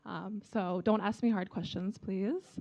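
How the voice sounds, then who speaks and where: A woman's voice: medium pitch, fairly even intonation, unhurried, darkish tone. A young woman with an American accent gives a friendly, informal presentation to students as an embassy official. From China. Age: young adult. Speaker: embassy vice consul presenting. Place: event talk before an audience.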